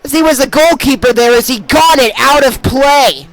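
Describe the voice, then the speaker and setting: High-pitched young male sports play-by-play announcer. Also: normal speed. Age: young adult. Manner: excitable, bursting into shouts on big plays. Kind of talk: live play-by-play sports commentary.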